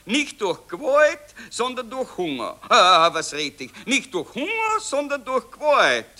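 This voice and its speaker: A man's voice: very high-pitched, huge pitch swings, measured pace, pushed and bright, full character voice. A man playing a bossy general. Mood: joking, bossy. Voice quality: chummy, wheedling, mock-confiding. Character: Viennese general on the phone, joking and bossy. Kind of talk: radio play acting.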